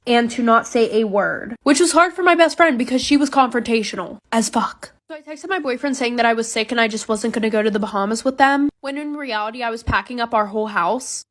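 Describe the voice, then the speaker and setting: A young adult woman narrating a personal story in a casual, conversational storytime style. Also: a little high.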